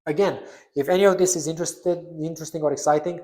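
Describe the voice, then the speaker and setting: A man's voice: fairly high pitch, fairly even intonation, fast talker, mellow. A man giving an explanatory, semi-scripted product update as a presenter. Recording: roomy echo.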